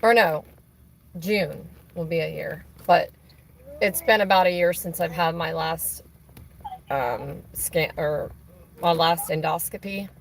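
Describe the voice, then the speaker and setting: A woman with a hoarse, raspy voice chatting casually on a live stream, rambling with many fillers and restarts. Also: low-pitched, slow pace, a little hiss or hum, rough recording. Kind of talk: casual chat on a phone call during a stream.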